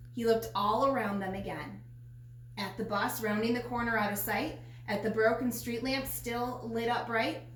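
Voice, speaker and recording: Female storybook narrator, fairly high voice, reading aloud in a roomy, faintly noisy recording. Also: a bit bright. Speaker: storybook reader. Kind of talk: children's picture book read-aloud. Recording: roomy echo, faint background noise.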